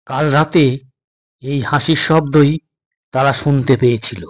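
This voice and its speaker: A man's voice: medium pitch, fairly even intonation, measured pace. A man narrating a suspense story as a storyteller, in a dramatic scripted style.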